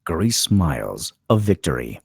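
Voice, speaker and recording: Man, very low husky voice, lively pitch swings, reading stage directions, dry recording. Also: a little slow, dry recording. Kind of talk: scripted screenplay narration.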